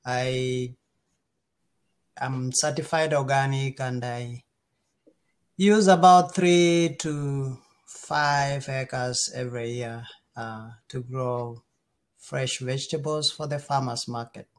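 A middle-aged man with a Kenyan accent, talking casually as an interview guest and pausing often mid-sentence. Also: medium pitch, fairly even intonation, smooth.

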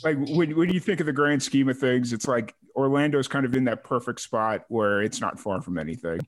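A man's voice: medium pitch, a little fast. A man from Chicago hosting an interview, talking in a rambling, friendly way with lots of "uh" and restarts. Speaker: host. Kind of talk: casual interview chat.

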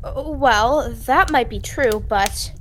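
A woman's voice: fairly high pitch, slow, a bit bright. A woman playing a defensive, flustered woman suspect in an old-time radio drama, protesting indignantly. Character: defensive, indignant older sister under accusation. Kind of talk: 1940s radio drama acting.

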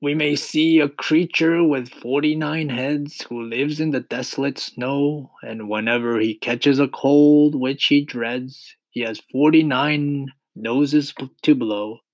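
A man's voice: medium pitch, fairly even intonation, takes it slowly, hardly any pauses, dry recording, pitched above the performer's own voice, close to an everyday voice, rough recording. A man playing a boastful, exuberant centipede, declaiming mischievous sing-song rhymes in a children's storybook reading. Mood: mischievous, playful.

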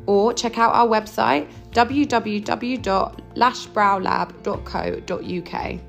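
Gravelly woman reading an ad over music. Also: mid-range voice, slow pace. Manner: polished promotional delivery. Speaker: salon co-owner in an ad. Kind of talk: scripted ad read.

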